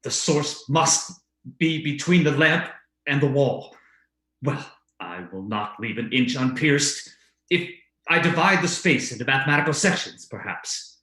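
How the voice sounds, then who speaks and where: Man playing obsessive doctor, coldly secretive, tense forceful tone, old-time radio drama, roomy sound. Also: medium pitch, expressive, measured pace. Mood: obsessed, calculating.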